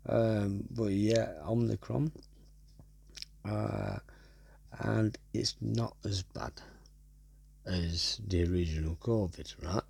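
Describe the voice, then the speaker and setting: Gravelly Wigan man ranting casually. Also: lowish voice, fairly even intonation, takes it slowly, long pauses, a little mellow. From North West England. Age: 30s. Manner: swears a lot and keeps tagging "right?". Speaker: podcast host. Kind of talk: casual monologue.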